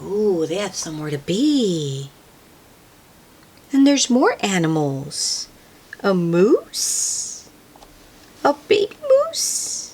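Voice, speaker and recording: A woman reading a picture book aloud to young children as host, in an expressive, sing-song way with questions and soft exclamations for the listener. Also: mid-range voice, extremely slow, long pauses, slightly rough.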